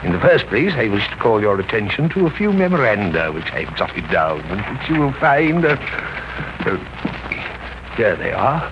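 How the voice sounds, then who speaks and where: Cold criminal mastermind, formal, deliberate, menacing, low voice, noisy old radio drama recording. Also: male voice, big pitch swings. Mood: guarded, sly. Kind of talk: 1930s radio acting. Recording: noisy, muffled 1930s radio broadcast.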